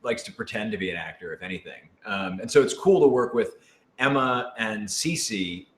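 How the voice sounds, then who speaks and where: A man chatting casually on a question-and-answer panel as a voice actor, animated and self-deprecating. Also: on the low side, moderate pace. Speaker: voice actor in an audio drama. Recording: roomy echo with clear background noise.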